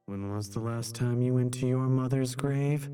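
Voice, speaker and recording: An actor playing the calm, enigmatic apparition of a dead man in a scripted noir drama. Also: mid-range voice, normal speed, clear, resonant tone, faint music behind, natural voice. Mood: cryptic, calm.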